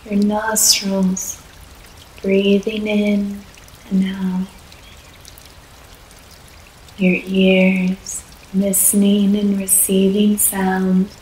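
A woman guides a yoga nidra session at a very slow, soothing pace, leaving long pauses between short phrases. Her voice stays flat and even throughout. The recording has a roomy echo with faint noise underneath. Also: medium pitch. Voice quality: soft, soothing, unhurried. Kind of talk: guided relaxation meditation. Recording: echoey room, faint background noise.

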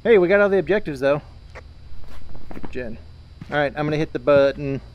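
Animated man gaming over loud noise. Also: a little high, moderate pace, muffled recording. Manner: joking, swearing. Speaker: video game streamer. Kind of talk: casual co-op horror gaming commentary. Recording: loud game ambience noise. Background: horror game sounds.

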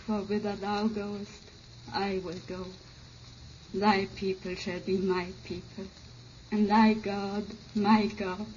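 A woman playing a tender, devoted wife in a 1950s radio drama, with earnest, halting dramatic delivery. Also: mid-range voice, level tone, darkish tone, lightly acted. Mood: tender resigned. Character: tender, devoted young wife facing death. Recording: muffled, slightly noisy old radio recording.

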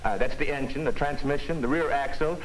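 An actor playing an earnest American car salesman making his pitch over the phone in a 1950s film. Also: fairly quick, lightly acted, low-quality recording. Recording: hissy 1950s film soundtrack.

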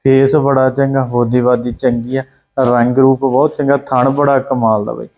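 A Punjabi-accented man reels off a cow's traits in quick singsong checklists on a farm yard. His voice sits at a middling pitch. The audio is a little dull and lo-fi. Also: flat delivery, a little mellow. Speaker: livestock video host. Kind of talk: casual livestock sales commentary. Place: outdoor dairy farm yard.

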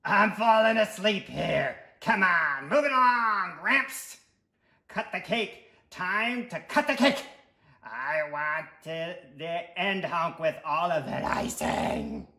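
Storybook talking tooth, acted by a man, greedy, pushy, wisecracking, exclamatory, swooping pitch, echoing room. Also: very animated, takes it slowly, gravelly, sharp tone, heavily acted. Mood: impatient, demanding. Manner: brash, demanding, exclamatory. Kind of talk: children's storybook character voice.

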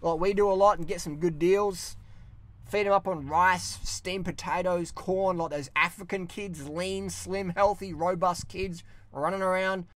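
A man's voice: high-pitched, unhurried, no room sound. A young Australian man ranting bluntly and swearing often, talking to a camera on the dashboard of his parked car. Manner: blunt, swears a lot, animated hand gestures. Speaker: vlogger. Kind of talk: casual ranting vlog.

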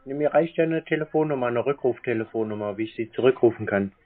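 A man's voice: mid-range voice, fast talker, narrow, tinny audio. A man in a phone conversation, putting questions to a salesman. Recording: band-limited phone sound.

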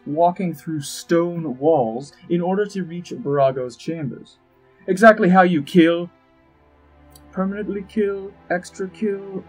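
An actor playing an urbane, wry, scheming ambassador in a fantasy audio drama, droll, precise and pompous, with a husky voice. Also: male voice, on the high side, poor audio. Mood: droll, exasperated. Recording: music behind the voice.

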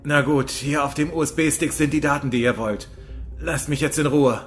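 A man's voice: on the high side, steady tone, normal speed, faint music behind, natural voice. A man playing a weary, evasive, easily intimidated doctor in an audio drama.

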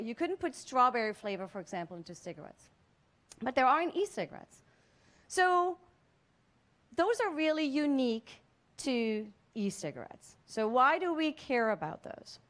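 A woman in her forties giving a public science lecture on a museum cafe stage with a handheld mic, explaining in a conversational way with frequent restarts. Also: fairly high pitch. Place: museum cafe stage before a seated audience, indoors.

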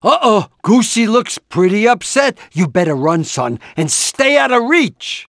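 A man's voice: high-pitched, sing-song, measured pace, gravelly, fairly bright tone, dry recording, clean studio sound, exaggerated voice. A man voicing an anxious, encouraging, portly middle-aged rooster father in a cartoon video game, with a hesitant, rambling, fatherly manner. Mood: worried urging. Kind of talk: animated video game character lines.

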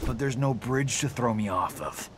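An actor playing a brash, wisecracking action star in a video game, with ambient noise behind. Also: male voice, mid-range voice, normal speed, close to an everyday voice. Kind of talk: video game character dialogue.

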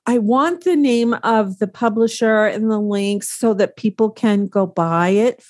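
A middle-aged woman hosting a podcast over a video call, enthusiastic and encouraging as she asks questions. Her pitch sits in the usual middle range for a woman. The sound is dry and close. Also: unhurried, darkish tone, no echo. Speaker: podcast host. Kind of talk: casual interview on a video call.

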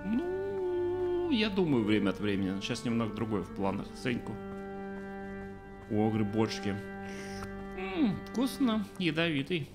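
Sarcastic streamer man, lively pitch. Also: measured pace. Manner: sarcastic, joking, reads game subtitles aloud. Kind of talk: casual let's play commentary. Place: small room at home. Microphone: desk mic, webcam framing. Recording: game music playing behind. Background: game music.

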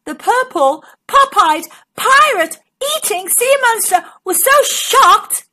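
A woman narrates a picture book for young children. Her voice is high and bright, moving up and down a great deal. She reads slowly, leaning into words with dramatic emphasis. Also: lively intonation. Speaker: children's storybook narrator and channel host. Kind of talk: scripted read-aloud for young children.

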